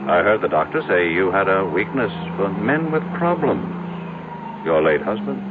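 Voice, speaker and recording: A man's voice: low voice, animated, moderate pace, phone-quality sound. A male actor with an American accent playing an arrogant, self-made, skeptical businessman in brash old-time radio drama style, on a noisy old recording. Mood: smug. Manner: brash, self-assured, declamatory.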